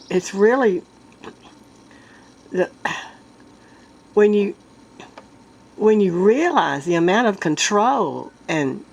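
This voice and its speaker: A woman with a congested, sick-sounding voice talks to her viewers in a casual vlog. She speaks earnestly in halting bursts, often starting over. She clears her throat and coughs again and again. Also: lowish voice. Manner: earnest, halting, with many restarts. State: congested, stuffed-up nose from a sinus illness. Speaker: vlogger.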